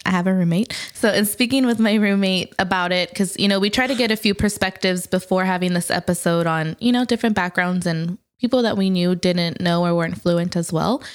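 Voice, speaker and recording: A woman's voice: fairly low pitch, a little fast, hardly any pauses, smooth. A woman co-hosting a podcast in casual chat, teasing her co-host in playful banter.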